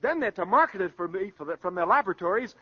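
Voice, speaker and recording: An actor playing an earnest, excitable young inventor son in a 1940s radio comedy-drama. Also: male voice, sits high, fast talker, breathy edge. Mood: excited, proud. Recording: dull vintage radio recording.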